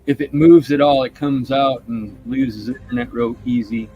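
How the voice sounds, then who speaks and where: A man's voice: mid-range voice, fairly even intonation, normal speed, slightly rough, lo-fi sound. A man co-hosting a live stream, easygoing and making dry quips. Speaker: stream co-host. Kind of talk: casual live stream chat. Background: quiet tune playing.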